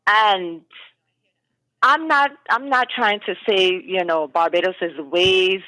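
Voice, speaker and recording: A woman's voice: on the low side, sharp tone, no room sound, low-quality recording. A woman calling into a talk show over the phone, giving her opinion in an emphatic, conversational way and repeating her key points. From United States. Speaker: caller. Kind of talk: call-in opinion.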